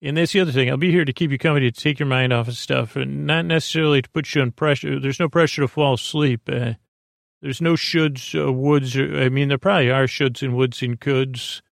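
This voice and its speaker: A man hosting a sleep podcast, rambling haltingly through a meandering bedtime monologue, often stammering and restarting as he wanders into tangents. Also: medium pitch, steady tone, vocal fry, no room sound, studio quality. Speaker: podcast host.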